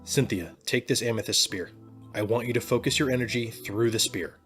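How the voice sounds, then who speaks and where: A man playing a calm combat trainer in an audio drama, giving measured instructions. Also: medium pitch, faint music behind, lightly acted. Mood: calm, instructing.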